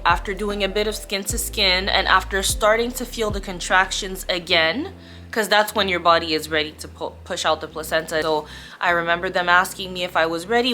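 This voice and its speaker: Bright-voiced young woman telling a candid story. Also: lowish voice. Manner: candid, chatty, expressive emphasis. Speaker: vlogger telling her birth story. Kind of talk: casual storytime to camera. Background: background music.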